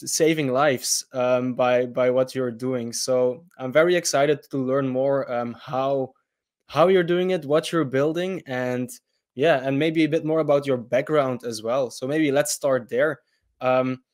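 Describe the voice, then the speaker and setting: A man's voice: mid-range voice, measured pace. A man hosting an interview, asking eager questions with fillers and restarts. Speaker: interview host.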